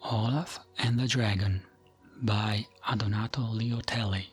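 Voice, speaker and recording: A man narrating fantasy lore as soothing ASMR, in a gentle, hushed voice that often slips into a whisper, with music behind him. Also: on the low side, fairly even intonation, a little slow.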